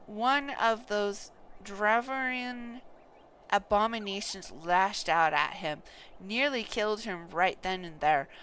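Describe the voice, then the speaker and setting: A woman's voice: mid-range voice, fairly bright tone, a little hiss or hum, rough recording. A woman voicing an anguished knight in a fantasy game, confessing a guilty secret earnestly and solemnly. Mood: anguished, grave. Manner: earnest, solemn, halting over names. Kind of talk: dramatic reading of fantasy game dialogue.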